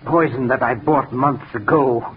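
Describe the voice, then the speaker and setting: An actor playing an arrogant, raving murderer who thinks himself a genius, pompous and contemptuous, in 1940s radio drama style. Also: male voice, medium pitch, unhurried, crowd noise, natural voice. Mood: furious, gloating. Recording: lo-fi 1940s radio recording, background noise.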